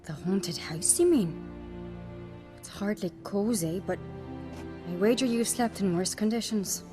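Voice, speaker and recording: Woman playing bold young noblewoman, brisk confident wry tone, game music clearly under her. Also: medium pitch, expressive, lightly acted.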